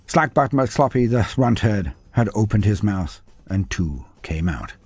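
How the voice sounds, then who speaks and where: A man narrating a scripted story in a dramatic, animated way. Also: on the low side, measured pace, breathy edge, no echo, soft background music.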